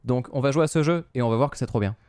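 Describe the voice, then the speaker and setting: A man's voice: mid-range voice, rapid-fire, a little mellow, clean tone, no echo, studio quality. A French man giving casual live commentary as a streamer playing a game.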